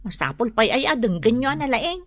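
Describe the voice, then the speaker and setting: An actress playing a gossiping neighbor wife in an Ilocano radio drama, with an Ilocano accent. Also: female voice, fairly high pitch, sing-song, brisk, dry recording, clean studio sound.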